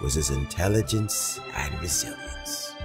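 A deep, dignified old statesman. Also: expressive, a little slow, full character voice. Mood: reflective. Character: dignified, thoughtful elderly male statesman. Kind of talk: video game dialogue acting. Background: game music.

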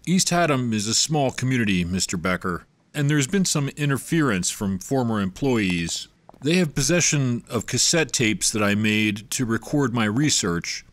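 A man's voice: medium pitch, lively intonation, normal speed, natural voice. An actor playing a guarded, secretive male researcher in an audio drama.

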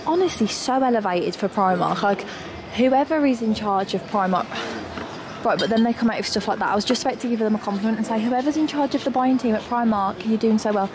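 A woman, chatting excitedly in a casual shopping vlog, filmed on a handheld phone inside big stores. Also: fairly high pitch, fairly quick, background noise. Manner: gushing, upbeat, lots of "like". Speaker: vlogger. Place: large indoor shopping stores. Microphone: handheld phone at arm's length. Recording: loud store ambience behind voice.

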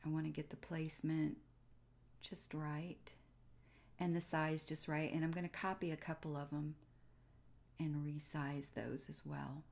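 A woman giving casual step-by-step narration for a software tutorial, pausing between short phrases. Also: low voice, level tone, gravelly. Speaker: tutorial host.

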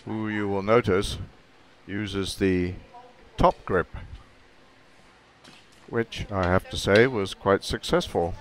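A man's voice: on the low side, slow, pauses often. A man giving an informal voice-over narration for a home holiday video, with some noise in the recording.

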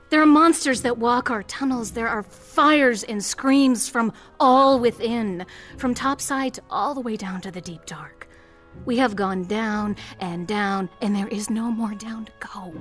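A woman's voice: a little high, natural voice. A woman acts out a proud survivor queen of the sewers, solemn and weary, issuing short commanding lines in an audio drama. Music plays beneath her voice. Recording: music under the voice.

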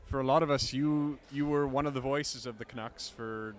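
A man's voice: medium pitch, fairly even intonation, normal speed. A young man interviewing a guest, asking friendly questions on a handheld mic in a large indoor hall. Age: young adult. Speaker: interviewer, museum curator.

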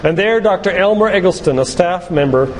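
An older man giving an earnest, deliberate sermon-like talk with stories and read-out quotations. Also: high-pitched, animated. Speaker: physician and lay preacher giving a church talk. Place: church hall, live talk to congregation. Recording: reverberant church hall, background noise.

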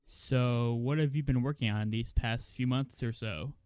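A man's voice: mid-range voice, fairly even intonation, measured pace, darkish tone, clear, resonant tone, no echo. A man speaking as a podcast host, in the plain style of interview questions and announcements. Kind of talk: interview questions and scripted sponsor read.

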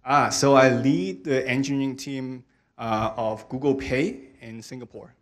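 A man's voice: mid-range voice, unhurried. A man, speaking in a friendly way with many fillers as a panelist, into a handheld microphone. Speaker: engineering lead, panelist. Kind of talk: panel talk. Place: stage of a large hall with audience. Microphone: handheld mic.

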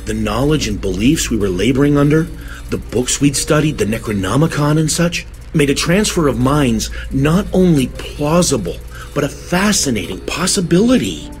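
A man playing a troubled, genteel suspect in an audio horror drama, halting, formal and earnest. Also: mid-range voice, very animated, faint music behind, lightly acted. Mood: desperate, urgent. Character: troubled, genteel man confessing to police, desperate.

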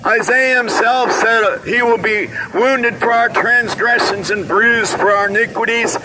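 An older man preaching loudly to passersby on a city street corner, recorded by a camera from across the road. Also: very high voice, measured pace. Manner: loud, proclaiming to passersby. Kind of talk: open-air street preaching. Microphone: distant camera across the street. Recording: traffic noise from far off. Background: passing cars and trucks.

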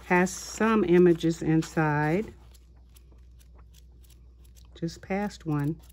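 A woman hosting a haul video of her craft purchases, talking in an unhurried way with many small pauses and fillers. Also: on the low side, darkish tone. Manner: slow, unhurried, halting with fillers. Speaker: craft channel host. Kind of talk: show-and-tell haul video. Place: indoors at a tabletop, voice-over of hands.

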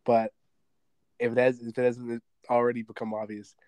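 A young man co-hosting a podcast in casual chat, talking with a sore throat and a slight rasp, rambling with restarts and frequent laughs. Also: mid-range voice, monotone, normal speed, darkish tone, dry recording. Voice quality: slightly raspy. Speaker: podcast co-host.

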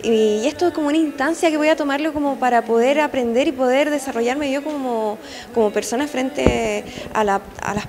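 A woman's voice: high voice, a little fast. A young Chilean woman who is under the weather, talking hesitantly in an interview with many restarts. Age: young adult. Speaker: pageant contestant. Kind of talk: casual interview. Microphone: handheld mic. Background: music.

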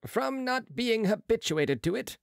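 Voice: medium pitch, sing-song, unhurried, vocal fry, dry recording, studio quality, pitched above the performer's own voice. A reader voicing a proud, sneering young male surgeon who talks loftily in an audiobook. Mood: boastful. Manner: boastful, lofty, sharp retorts. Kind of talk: audiobook character reading.

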